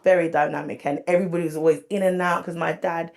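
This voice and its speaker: Woman, gravelly texture, relaxed guest storyteller. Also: lowish voice, fast. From England. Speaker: guest musician. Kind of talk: relaxed podcast conversation.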